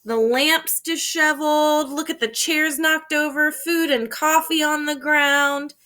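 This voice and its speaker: A woman with a high, bright voice reads a picture book aloud like a schoolteacher. She is expressive and emphatic, stopping to explain things to children. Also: measured pace. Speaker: teacher reading aloud to children. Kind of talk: animated children's storybook read-aloud.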